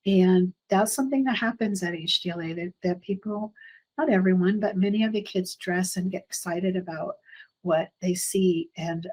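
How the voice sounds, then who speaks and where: A middle-aged woman, a school administrator, talking parents through slides at an orientation, pausing often with ums and restarts. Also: on the low side, darkish tone, low-quality recording. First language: English. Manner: halting, many ums and restarts.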